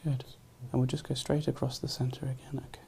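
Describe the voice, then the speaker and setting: A man's voice: mid-range voice, level tone, fairly quick, mellow, slight background noise. A man working as a doctor, demonstrating a clinical exam with polite, courteous instructions to a patient.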